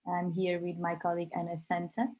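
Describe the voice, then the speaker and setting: A woman presents over a video call, and her voice sits a little low and mellow. She pauses often on filler sounds between her phrases, and her delivery is steady and explanatory rather than polished. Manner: hesitant, frequent filler pauses. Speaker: company presenter. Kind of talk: online webinar presentation.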